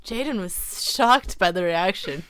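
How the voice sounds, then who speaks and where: A woman's voice: fairly low pitch, lively intonation, a little slow, a bit bright. A woman giving a mock-serious dramatic reading of fan fiction, theatrical and stumbling over words now and then.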